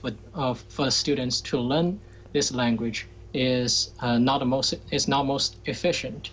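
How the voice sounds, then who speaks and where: A man's voice: medium pitch, fairly even intonation, a little slow, fairly bright tone, slight background noise, low-quality recording. Hesitant man, Chinese native speaker. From China. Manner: hesitant, frequent uh fillers and restarts. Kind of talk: casual chat.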